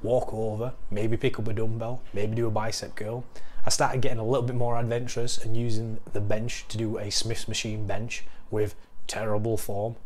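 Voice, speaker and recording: Young Northern English fitness coach. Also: male voice, lowish voice. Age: young adult. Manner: candid, self-deprecating, explanatory. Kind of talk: casual talk to camera. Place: living room at home. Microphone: lapel mic.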